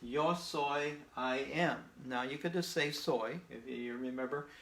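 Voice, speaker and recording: A man with a voice of ordinary pitch talks through a beginner Spanish lesson in a relaxed, folksy way. He is a native English speaker, patient with his learners, and keeps checking in with them. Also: measured pace. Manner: patient, folksy, frequent "okay?" and "uh" fillers. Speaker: Spanish teacher. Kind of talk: casual spoken language lesson.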